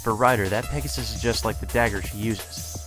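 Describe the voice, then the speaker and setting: A man's voice: lowish voice, brisk. A man reading visual novel narration aloud as a let's play narrator. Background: game music.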